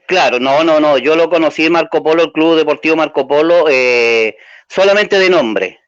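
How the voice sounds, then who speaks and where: A man's voice: on the high side, moderate pace, no echo. Hesitant Chilean man, dry dull sound. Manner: hesitant, many fillers, slightly nervous. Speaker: interview guest. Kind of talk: casual interview chat.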